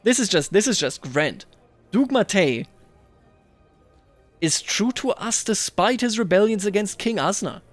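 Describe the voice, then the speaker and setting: A man hosting a strategy game roleplay, thinking aloud in a musing, deliberate way as he comments and narrates. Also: high voice, lively intonation, no room sound, faint music behind.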